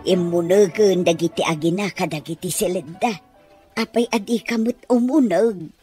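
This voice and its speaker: A woman playing an older, plain-spoken, teasing housemaid with a husky voice in an Ilocano radio drama. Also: fairly low pitch, moderate pace, warm tone, faint music behind, close to an everyday voice. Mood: teasing, grumbling.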